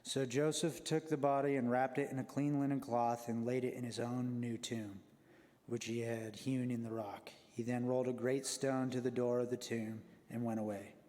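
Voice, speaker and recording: A man narrates scripture in a church dramatic reading. His voice is medium in pitch and carries a long reverb from the sanctuary. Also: flat delivery, moderate pace, mellow. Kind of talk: church readers' theater narration.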